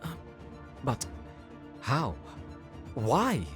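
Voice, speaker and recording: A man's voice: medium pitch, big pitch swings, very slow, a bit bright, exaggerated voice. A man playing a formal, dryly exasperated warrior comrade in an audio roleplay drama. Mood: puzzled. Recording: music under the voice.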